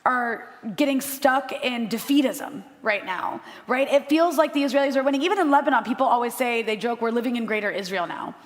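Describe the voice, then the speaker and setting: A woman's voice: on the high side, big-room sound. A woman journalist speaking on a live panel, passionate and blunt, with frequent "um" fillers. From United States. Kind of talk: impassioned panel talk. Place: live panel in a room with an audience.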